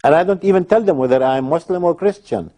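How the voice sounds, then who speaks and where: A man from Palestine giving personal testimony in a documentary interview, hesitant at times and then forceful. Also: fairly high pitch, fast.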